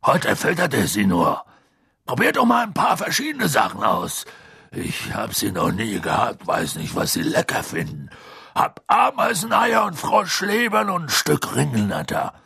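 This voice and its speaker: A man voicing a gruff, proud giant gamekeeper who talks in halting, plain speech. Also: medium pitch, wildly swooping pitch, bright, forceful tone, no room sound, clean studio sound, exaggerated voice.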